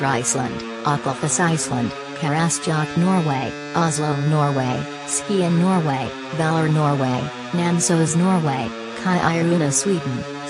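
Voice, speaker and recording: A synthetic text-to-speech woman's voice reading a scripted emergency broadcast in a flat, formal, impersonal manner. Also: deep voice. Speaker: emergency alert announcer.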